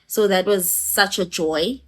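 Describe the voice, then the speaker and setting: A woman, an isiXhosa speaker, speaking as a travel vlogger in a thoughtful, measured way. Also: mid-range voice. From South Africa. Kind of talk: reflective personal account.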